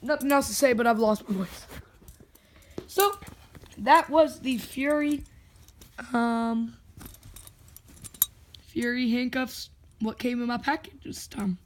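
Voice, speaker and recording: A young vlogger with a hoarse, worn voice, chatting and joking casually while unboxing. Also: medium pitch, slow, long pauses, slight background noise. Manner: chatty, jokey, self-talking. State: hoarse, lost voice.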